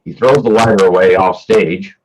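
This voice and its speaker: A man's voice: sits low, normal speed, a little mellow, low-quality recording. A man narrating scripted stage directions for a play reading.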